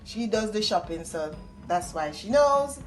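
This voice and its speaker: A middle-aged woman with a Jamaican accent gives a casual cooking demonstration, explaining each step and pausing to find words. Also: mid-range voice, lively intonation, poor audio. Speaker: home cook demonstrating a recipe. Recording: music playing behind the voice. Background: music.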